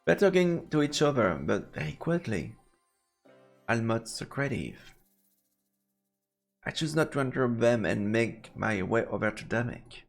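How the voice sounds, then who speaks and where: A man's voice: medium pitch, sing-song, measured pace, creaky, long pauses, soft background music. A man doing let's play commentary on a visual novel, reading the narration aloud. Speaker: game streamer.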